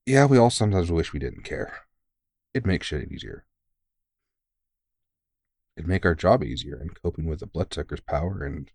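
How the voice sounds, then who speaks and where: A man's voice: sits low, vocal fry, a little mellow, no room sound, clean studio sound, exaggerated voice. A man playing a big veteran vampire hunter in an intimate audio roleplay, talking bluntly and sweary, yet earnest and reassuring. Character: big, gruff but caring veteran male vampire hunter, mentoring.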